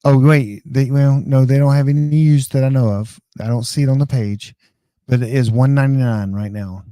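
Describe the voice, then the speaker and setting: Mellow American man, casual live host. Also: mid-range voice, fairly even intonation, normal speed, clear, resonant tone, no echo, studio quality. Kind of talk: casual live chat, rambling tech advice.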